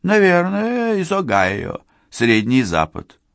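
A man reading a quick young woman stage magician, light and teasing, who pitches up high with a bouncy, lively tune. The sound is close and dry. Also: creaky, dry recording, heavily acted. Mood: playful.

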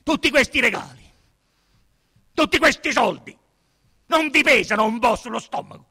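Actor as stern, accusing police inspector. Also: male voice, very high voice, animated, moderate pace, sharp tone, husky, big-room sound, voice pushed up, exaggerated voice. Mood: furious accusing. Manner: stern, confrontational. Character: grim, accusing male police inspector interrogating a suspect. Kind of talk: theatrical radio drama interrogation.

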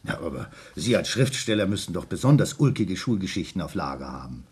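Deep, husky, convivial gentleman. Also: big pitch swings, fairly quick, exaggerated voice. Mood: coaxing. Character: genial middle-aged gentleman presiding over a drinking circle. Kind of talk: German radio play acting.

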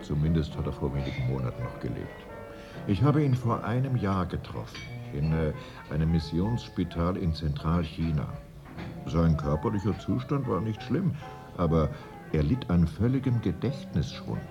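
A worldly travel writer in a radio play, his low voice edged with creak. He tells his mystery deliberately, swinging widely in pitch and leaning on pauses for drama. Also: expressive, muffled recording, music in the background. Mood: grave, mysterious. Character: travel writer, old school friend, recounting a mystery. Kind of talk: 1980s German radio play dialogue.

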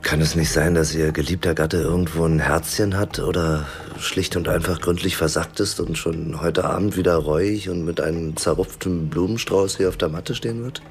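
An actor playing a hungover, insolent private detective in a radio drama, wry and flippant in delivery. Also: male voice, deep voice, steady tone, moderate pace, few pauses, faint music behind. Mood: sardonic. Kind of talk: German radio drama acting.

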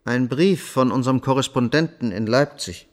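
An actor playing a scheming younger son in a German radio drama, theatrical, insinuating and falsely devout. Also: male voice, mid-range voice, normal speed, natural voice. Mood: feigned concern. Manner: theatrical, insinuating, savoring sarcasm.

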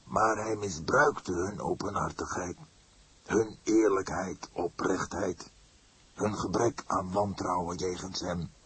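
A man's voice: low-pitched, steady tone, slow pace, a bit bright, husky, voice pushed up, heavily acted. A man voicing an indignant, solemn father of the church in an audiobook, speaking with emphatic, formal deliberation. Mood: reproachful. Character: fierce, pained elderly pastor, indignant and solemn. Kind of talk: audiobook character voice.

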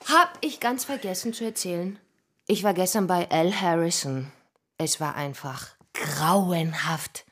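An actress playing a chatty, teasing, moody out-of-work young stage performer in a radio drama, breezy and dramatic. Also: female voice, lowish voice, unhurried, breathy edge. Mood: chatty, confiding. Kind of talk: naturalistic radio drama acting.

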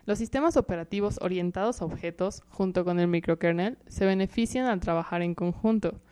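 A young woman as guest expert, giving a measured, lecture-like scripted explanation that lists points one by one. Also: lowish voice, dark tone, clear, resonant tone, no echo.

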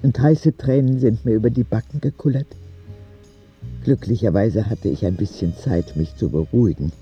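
A man's voice: fairly low pitch, normal speed, mellow, slightly rough, lo-fi sound, faint music behind. A man narrating an audio drama as a candid, vulnerable ten-year-old sick boy who confides in a naive, plain way. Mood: wistful, vulnerable.

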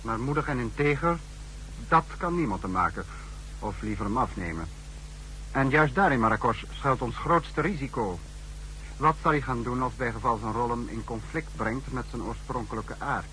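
A man playing a stern, commanding secret service chief in a 1960s radio drama, with formal, measured delivery. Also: mid-range voice, pushed and bright, slight background noise, lightly acted, rough recording. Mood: brooding. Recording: humming old 1960s radio recording.

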